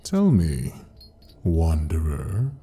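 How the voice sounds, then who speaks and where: A man's voice: a bass voice, huge pitch swings, drawn-out words, warm tone, exaggerated voice. A man playing an ancient, cruel, mocking devil king in an ASMR audio drama, with theatrical, formal menace. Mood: measured, taunting.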